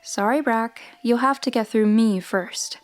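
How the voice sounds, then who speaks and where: A woman's voice: on the high side, a little slow, no echo, lightly acted. A woman playing a brave teenage girl space sidekick in a motion comic. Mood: defiant.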